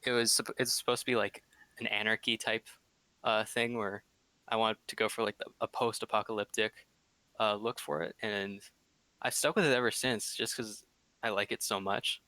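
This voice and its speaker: A young man with a fairly low but bright, forward voice talks casually as a podcast guest. He rambles a little and feels his way through sentences, in a very dry recording. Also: steady tone, normal speed, dry recording. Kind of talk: casual chat.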